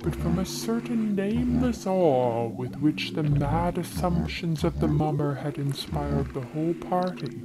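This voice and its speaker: Slow, theatrical woman reading over heavy ambience. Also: deep voice, animated, heavy background noise. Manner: solemn, theatrical, drawn-out. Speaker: story reader. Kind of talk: dramatic literary reading over a soundscape.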